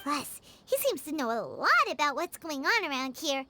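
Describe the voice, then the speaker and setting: A woman voicing a small, excitable, chatty childlike fairy companion in a video game, squeaky and emphatic. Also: moderate pace, very noisy. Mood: excited, urgent. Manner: squeaky, exclaiming, emphatic, refers to self in third person. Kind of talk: video game character acting. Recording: background music under the voice.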